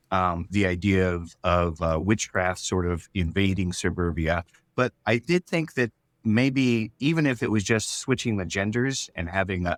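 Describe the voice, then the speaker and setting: Lowish-voiced man, reflective and hesitant. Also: no room sound. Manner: hesitant, reflective, restarting phrases. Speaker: writer-creator interviewed about his show. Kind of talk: casual interview chat.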